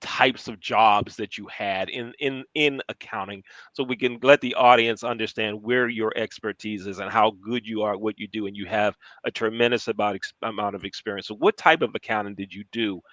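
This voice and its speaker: A man's voice: lowish voice, steady tone, brisk, hardly any pauses, a bit bright, dry recording, low-quality recording. A man hosting a conversational interview, repeating himself for emphasis and often checking that the listener follows. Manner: emphatic repetition, checks in with "right?". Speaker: interview host.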